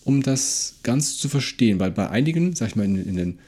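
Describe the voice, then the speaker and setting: A man with a husky, relaxed, low-lit tone speaks as a podcast co-host. He discusses and critiques in a casual style, often doubling up on words of agreement. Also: a little fast. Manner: conversational, repeats agreeing words.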